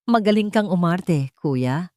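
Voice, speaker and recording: A woman's voice: on the low side, lively intonation, unhurried, mellow, no echo, clean studio sound. A woman voicing a smug, rich younger sister who taunts and provokes in a narrated drama. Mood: smug, mocking. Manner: mocking, provocative.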